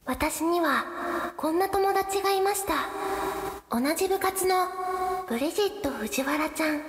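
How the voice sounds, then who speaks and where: Woman playing an anxious, very high-pitched schoolgirl. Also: unhurried. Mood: calm narration. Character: anxious, easily flustered high school girl club captain narrating her troubles. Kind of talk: radio drama acting and narration. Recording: roomy echo, music and background chatter.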